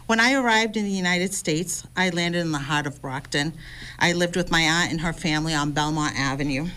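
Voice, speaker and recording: A woman's voice: sits low, brisk, pushed and bright. Composed woman in her fifties. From Lebanon. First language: Lebanese Arabic. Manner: composed, reads a prepared text. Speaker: city councillor and candidate. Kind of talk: prepared debate opening statement.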